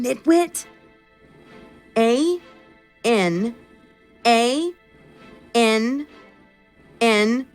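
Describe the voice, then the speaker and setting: A woman's voice: high-pitched, full character voice. A woman playing an imperious, short-tempered royal in an audio drama, with a haughty, commanding delivery. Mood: slow, commanding.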